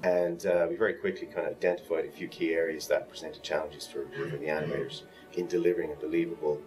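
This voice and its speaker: A man's voice: very deep voice, little pitch movement, fast talker, over music. A man giving a behind-the-scenes interview as a member of an animation team.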